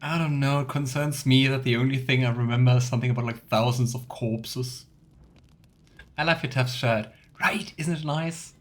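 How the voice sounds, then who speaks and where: A man's voice: mid-range voice, fairly even intonation, normal speed. A man giving casual let's play commentary in a chatty, playful way, with rambling asides. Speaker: let's player.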